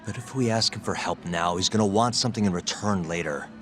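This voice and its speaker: A man's voice: low-pitched, a little fast, music in the background, close to an everyday voice. A man acting as a cool, stubborn detective in a video game drama, casual, wry and terse. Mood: irritated, incredulous.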